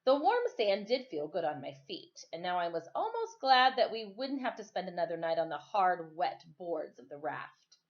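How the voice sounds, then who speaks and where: A woman reading a story aloud for children as a storytime teacher, expressive and informal. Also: on the low side, normal speed, hardly any pauses, muffled recording.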